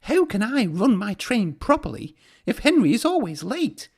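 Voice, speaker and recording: A man's voice: high voice, sing-song, moderate pace, vocal fry, husky, pitched above the performer's own voice, full character voice. A man voicing a cheeky, impatient young male steam engine in a children's storybook. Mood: cross, impatient.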